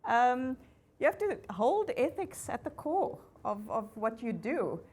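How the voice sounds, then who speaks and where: A woman moderating a fireside chat, lively and affirming with quick asides. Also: fairly high pitch. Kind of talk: conversational panel moderation.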